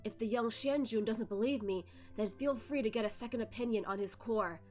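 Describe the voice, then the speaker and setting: A woman reads the part of a learned old physician in a fantasy story. The delivery is formal and calmly firm, in a high register. Faint music plays underneath. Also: natural voice. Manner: measured, formal, explanatory. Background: music behind the voice.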